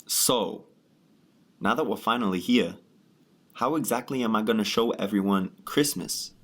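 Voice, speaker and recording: A man's voice: lowish voice, measured pace, fairly bright tone. A man playing a naive, eager, good-hearted dreamer in a radio drama, speaking in an earnest and polite way. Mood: polite, hopeful.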